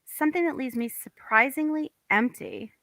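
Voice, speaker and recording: A woman's voice: sits high, moderate pace, fairly bright tone, no room sound. A woman playing a wistful, melancholy young wife in a table read of a stage comedy.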